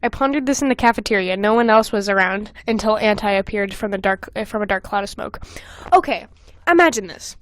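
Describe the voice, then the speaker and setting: A woman's voice: mid-range voice, expressive, brisk, slight background noise. A young adult woman hosting a podcast, casually reading old fan fiction aloud with giggly, sweary comic asides. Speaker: podcast host.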